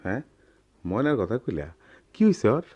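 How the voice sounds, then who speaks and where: A man playing an ageing, gentle village goldsmith and worried father in a Bengali radio drama, weary and reflective, with a rural Bangladeshi accent. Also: fairly low pitch, big pitch swings, normal speed, slightly rough. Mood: pensive, regretful. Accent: rural Bangladeshi dialect.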